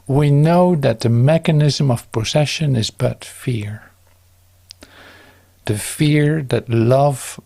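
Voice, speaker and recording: A man giving a calm, solemn, measured reading of a devotional text, with drawn-out pauses. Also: fairly high pitch, vocal fry. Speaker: devotional reader.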